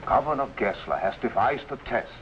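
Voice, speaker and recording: A man plays a worried, loyal Swiss conspirator in a vintage adventure serial. His mid-pitched voice swings expressively through urgent, archaic phrasing, with faint noise on the old recording. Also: lively intonation, a little fast, a bit bright, close to an everyday voice. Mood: grave, worried. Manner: urgent, archaic formal speech. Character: anxious, loyal Swiss conspirator bringing news. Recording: slightly noisy vintage broadcast recording.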